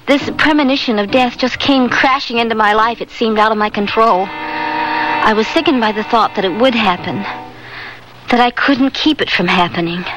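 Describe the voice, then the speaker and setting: A woman's voice: fairly high pitch, moderate pace, natural voice, low-quality recording. An actress playing an anxious young married woman haunted by nightmares, earnest and fretful in a radio drama. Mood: anguished, confiding. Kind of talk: vintage radio drama acting. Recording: vintage radio drama with music.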